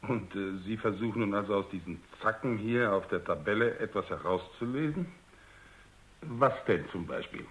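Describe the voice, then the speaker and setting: A lowish-voiced, questioning general. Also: male voice, lively intonation, moderate pace, fairly bright tone. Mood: probing. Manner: formal, probing. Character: concerned, questioning male army general. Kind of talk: German radio drama acting.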